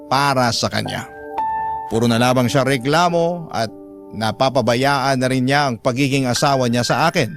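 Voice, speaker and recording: A man reading a scripted first-person story as a radio drama host and narrator. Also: mid-range voice. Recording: music bed behind voice.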